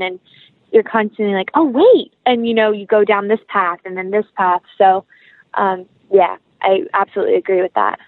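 A woman guest answers interview questions in a conversational, reflective way. Her voice sits at a medium pitch, and she drifts into small illustrative stories with earnest feeling. The sound is dry, with very little room around it. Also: no echo, narrow, tinny audio. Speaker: interview guest, professional actress.

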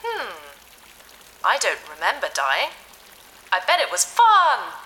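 Bright, excitable childlike AI. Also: female voice, a little high, takes it slowly, exaggerated voice, low-quality recording. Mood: excited, indignant. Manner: refers to itself by name, naive, blunt. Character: childlike, excitable ship AI with cheerfully villainous streak. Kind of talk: sci-fi audio drama acting.

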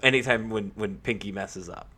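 A man co-hosting a casual sports podcast, talking in a halting way with repeated words and lots of "like". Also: fairly low pitch, sharp tone, husky. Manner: halting, repeats himself, peppers sentences with "like".

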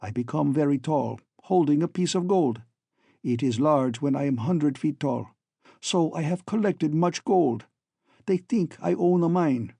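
A man's voice: on the high side, measured pace, gravelly, mellow, breathy edge, no room sound, heavily acted. A man voicing a falsely friendly, scheming hunchback villain in a story, oily and ironic, with stilted foreign-sounding phrasing. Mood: boastful, smug.

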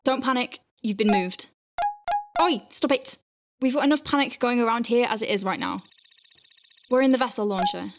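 A woman's voice: a little high, lightly acted. A woman playing an anxious, exasperated space medic working under pressure in an audio drama. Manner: urgent, exasperated.

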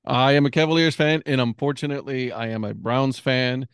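A man from Puerto Rico hosting a podcast, chatty and quick to laugh, often restarting his sentences. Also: medium pitch, steady tone, clean tone, dry recording, studio quality. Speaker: podcast host. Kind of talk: casual podcast interview.

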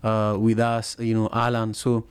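A man hosts a casual live audio chat, his pitch staying level and unvaried. He speaks haltingly, with frequent fillers and restarts. The sound is dry and close, with no room echo. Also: completely flat delivery, clear, resonant tone. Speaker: podcast host.

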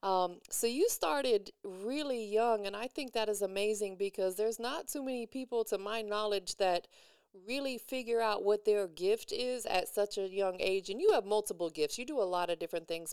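A woman hosts a relaxed interview in a smooth, resonant voice, warm and affirming toward her guest. The sound is dry and close, without any room echo. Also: mid-range voice. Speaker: interview host. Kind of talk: casual interview.

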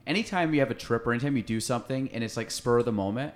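A man's voice: medium pitch, racing. Rambling man hosting a casual podcast. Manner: rambling, many "like" fillers, jokey. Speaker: podcast host. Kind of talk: casual interview chat.

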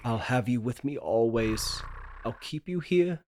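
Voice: mid-range voice, expressive, measured pace, a little mellow, a little hiss or hum, natural voice. An actor playing a tormented, secretive husband in an old-time radio drama table read, pleading and melodramatic. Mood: desperate, tender.

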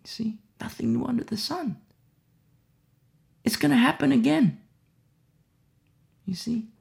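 A man preaching through a Bible passage with a gravelly, fry-edged voice. He talks in a rhetorical way, keeps asking his listeners "you see?", and sometimes sinks into quiet, hushed asides. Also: very high voice, a little slow. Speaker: Bible teacher. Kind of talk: verse-by-verse sermon teaching.

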